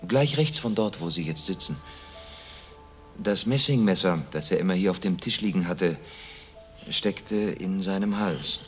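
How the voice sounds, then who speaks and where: A deep-voiced, reserved gentleman in a radio crime play, the well-to-do son of a murdered father. He speaks soberly and with measured, subdued matter-of-factness. Faint music sits behind him. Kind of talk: German radio crime drama dialogue.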